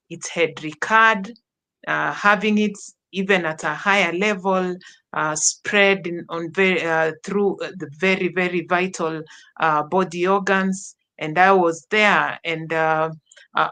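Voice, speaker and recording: A woman with a Kenyan accent, a teacher, telling her story as an interview guest, slow and halting with many pauses. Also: lowish voice, bright, forceful tone. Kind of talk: personal testimony in an interview.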